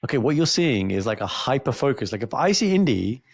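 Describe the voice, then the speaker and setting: A man co-hosting a casual podcast, telling stories in an animated, jokey way. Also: medium pitch, a little fast, vocal fry, husky, no room sound. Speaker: podcast co-host.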